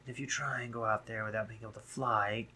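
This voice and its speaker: A man playing a brooding, sardonic drider monster, a guarded but caring doctor, in an intimate roleplay audio drama, speaking formally with halting pauses. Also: on the low side, fairly even intonation, pushed and bright, a little hiss or hum. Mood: grave, gentle.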